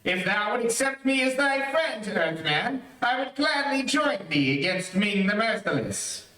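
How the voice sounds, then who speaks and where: A woman's voice: fairly high pitch, big pitch swings, a little slow, sharp tone, exaggerated voice. A woman playing a proud, loyal, warlike prince of lion men in an old-time radio adventure, speaking in declamatory archaic phrases. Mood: solemn, friendly. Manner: declamatory, archaic formal diction. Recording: echoey, distant streamed stage audio.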